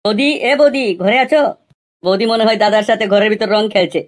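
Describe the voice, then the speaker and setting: A woman's voice: medium pitch, fast talker, close to an everyday voice. A woman voicing cheeky, brash young village lads in an amateur comedy cartoon, with slangy, crude and insistent talk.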